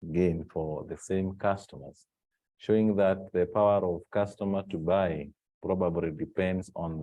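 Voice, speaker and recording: Slow, low-voiced man teaching a class. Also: dark tone. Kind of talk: online spreadsheet lesson, explanatory. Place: live online class over a microphone.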